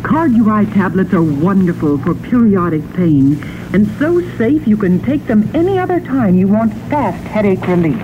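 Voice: medium pitch, lively intonation, normal speed, narrow, tinny audio. An announcer reading a scripted 1960 radio commercial on a thin, noisy old broadcast.

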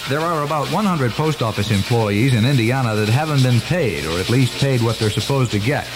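A man's voice: mid-range voice, animated, fairly quick. An American man reading a scripted radio news report. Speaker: radio newsreader. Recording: noisy old radio broadcast tape.

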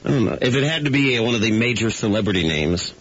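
A man's voice: on the low side, animated, fast talker, heavy background noise. A man co-hosting a morning radio show, bantering casually with dry, wry jokes. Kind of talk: casual radio banter, weather and time checks. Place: radio studio. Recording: dull lo-fi radio aircheck.

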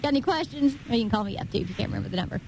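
A woman's voice: a little high, animated, very fast, creaky, noisy background. A woman hosting a radio show, chatting casually and conversationally between songs. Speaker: radio host. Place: radio studio.

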